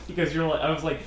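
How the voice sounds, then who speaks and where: Easygoing deep-voiced man in a roomy echo. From California, USA. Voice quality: generally deep voice. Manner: hesitant, many uh and um fillers, easygoing. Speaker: channel host. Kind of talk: casual Q&A chat. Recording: roomy echo, faint noise.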